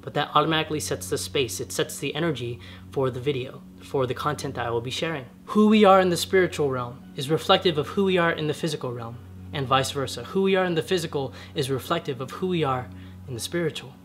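A young man with a slightly raspy voice, talking earnestly to camera in a casual vlog from his home garage. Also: a little high, fast, a bit bright, slight background noise. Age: young adult. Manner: earnest, gesturing with his hands while sitting on the floor. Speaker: vlogger. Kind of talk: casual spiritual vlog talk. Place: home garage, medium-sized, indoors.

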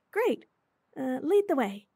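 A woman voicing a sweet, bubbly young rental girlfriend in an intimate roleplay audio, giggly, chatty and gently reassuring. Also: high voice, gravelly, dry recording, studio quality, full character voice. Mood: playful, excited. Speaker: voice actor.

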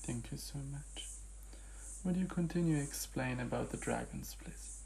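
Creaky-voiced man guiding a healing session. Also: mid-range voice, moderate pace, darkish tone, slight background noise. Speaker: hypnotic healing facilitator. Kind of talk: guided session, gentle questioning and instruction.

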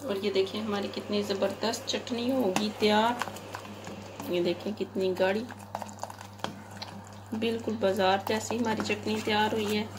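A woman of medium pitch with a Pakistani Urdu accent talks viewers through a recipe in her home kitchen, calm and patient as she points out each step. Dishes and pans clatter clearly behind her voice. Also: unhurried, pauses often, noisy background. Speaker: home cooking channel host. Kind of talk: step-by-step cooking instructions.

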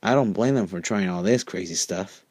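A man's voice: deep voice, moderate pace, darkish tone, breathy edge, no echo. Casting: breezy young female unicorn for a storybook, cheeky, easygoing, played by a man, dry sound. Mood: breezy, teasing.

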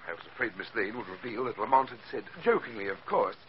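An actor playing a smooth, scheming villain who feigns friendship, with commanding 1940s radio delivery. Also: male voice, on the low side, quick pace, close to an everyday voice. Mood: falsely earnest. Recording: dull, noisy 1940s radio recording.

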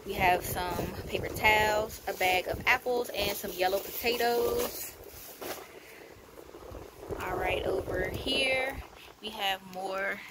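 A woman vlogger narrating a haul casually at home, in a matter-of-fact, halting way as she lists items. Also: medium pitch, background noise. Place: indoors at home, carpeted room.

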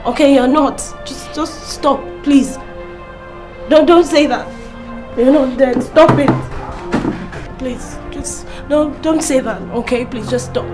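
An actress playing a fragile grieving young woman, pleading in a high, tearful voice. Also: takes it slowly, music in the background. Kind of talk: melodrama acting.

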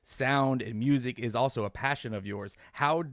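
A man's voice: medium pitch, moderate pace, no room sound. Dry-sounding male podcast host. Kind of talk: casual interview questioning. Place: home room, video call. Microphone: studio microphone on arm, close.

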